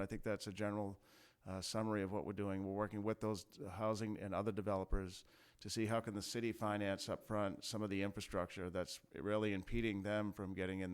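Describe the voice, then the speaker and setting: A man from city staff briefing a city council, measured and full of small pauses and restarts. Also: fairly low pitch, clean tone. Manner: measured, many fillers and restarts. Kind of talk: formal briefing. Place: council chamber.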